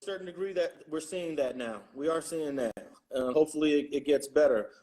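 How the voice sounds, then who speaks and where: Man, thoughtful, halting, fairly high voice, guest in a discussion. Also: mellow, low-quality recording. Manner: halting, thoughtful, many restarts.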